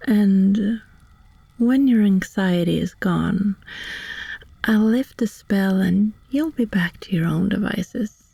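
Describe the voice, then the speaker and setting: ASMR roleplay sorceress, female performer: friendly, reassuring, unhurried delivery, breathy whisper with creaky fry at the edges. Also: mid-range voice, warm tone, no echo, heavily acted. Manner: slow, soothing, deliberate with long pauses. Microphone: close, dry microphone.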